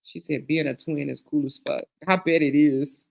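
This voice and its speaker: A woman's voice: low voice, fairly even intonation, normal speed, gravelly, darkish tone. A middle-aged woman hosting a live stream, reading viewer comments aloud and reacting in a chatty way, calling people girl, honey and child. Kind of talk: casual chat.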